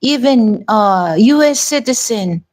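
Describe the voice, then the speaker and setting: A woman's voice: a little high, animated, slow pace, a little mellow. A woman with a Filipino accent talking casually to her viewers in a vlog, rambling with many restarts and "you know"s. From Philippines. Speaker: vlogger.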